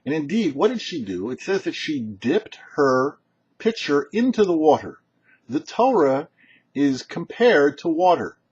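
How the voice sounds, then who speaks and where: A man's voice: fairly high pitch, big pitch swings, a little slow, darkish tone, breathy edge, highs cut off. A man speaking as a rabbi, giving a short explanatory Torah teaching with emphatic, didactic phrasing. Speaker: rabbi hosting a weekly Torah commentary show.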